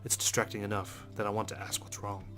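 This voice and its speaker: Male let's play host narrating game text: rapid, lowish delivery, casual, music clearly underneath. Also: flat delivery. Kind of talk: reading game narration aloud with casual asides. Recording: music behind the voice.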